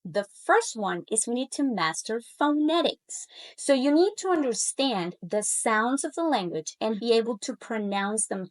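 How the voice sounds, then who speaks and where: Colombian woman in her thirties, native Spanish speaker, fairly high voice, upbeat persuasive webinar coach. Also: low-quality recording. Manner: upbeat, persuasive, lifts her pitch on key points. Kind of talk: webinar pitch and personal story.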